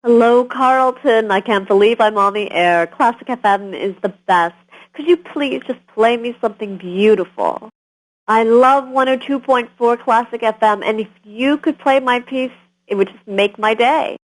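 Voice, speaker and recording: A woman's voice: fairly high pitch, normal speed, close to an everyday voice, low-quality recording. An actress playing a gushing, devoted woman who calls a classical radio station to plead earnestly for a request. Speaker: radio caller.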